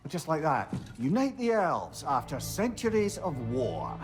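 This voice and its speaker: Sardonic adviser, lively swooping intonation, kindly, wordy, game drama, loud music behind. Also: male voice, a little high, a little slow. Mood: wry planning. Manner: wry, articulate. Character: wry, wordy male counsellor companion, kindly and sardonic. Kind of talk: dramatic video game acting.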